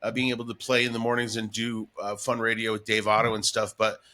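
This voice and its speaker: A man's voice: on the low side, monotone, fast, pushed and bright. A middle-aged man talking casually and enthusiastically as a guest in an interview, reminiscing in a chatty way. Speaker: radio morning show comedian, guest.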